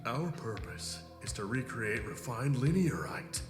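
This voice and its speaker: A man plays an earnest, loyal security chief in a sci-fi audio drama. His mid-pitched voice is formal and deferential, ringing in a long hall reverb with music underneath. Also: lively intonation, measured pace, natural voice. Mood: dutiful, questioning. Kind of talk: science fiction audio drama acting.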